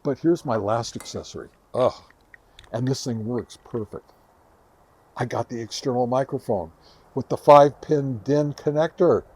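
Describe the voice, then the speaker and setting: A man's voice: mid-range voice, moderate pace, darkish tone, no room sound. A man casually showing and explaining gear as host of a hobby review video, chatty and enthusiastic. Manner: chatty, enthusiastic about gadgets. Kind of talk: casual hands-on product demo and explanation. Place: home radio shack.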